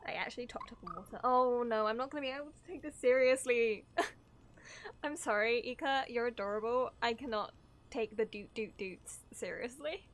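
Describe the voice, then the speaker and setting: High-pitched, giggly, excitable woman streamer. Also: normal speed, slight background noise. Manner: giggly, excitable, easily sidetracked. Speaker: livestreamer reacting to a video game. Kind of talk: casual excited chat while gaming.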